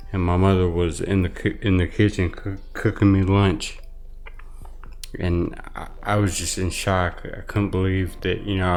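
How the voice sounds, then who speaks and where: A man giving earnest personal testimony as an interview guest, speaking haltingly with some repeated words. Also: deep voice. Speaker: interview guest, brain injury survivor.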